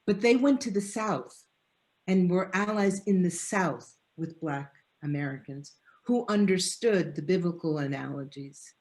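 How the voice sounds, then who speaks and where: A woman's voice: fairly low pitch, unhurried, a little mellow. A woman professor answering a panel question over a video call, talking in an earnest, discursive way with restarts. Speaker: guest speaker, professor. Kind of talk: lecture-style answer in an online panel.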